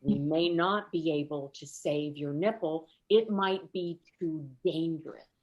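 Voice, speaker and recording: A middle-aged woman telling stand-up stories in a conversational way, with dramatic pauses. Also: sits low, muffled recording. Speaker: stand-up comedian. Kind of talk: stand-up comedy storytelling. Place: online video event.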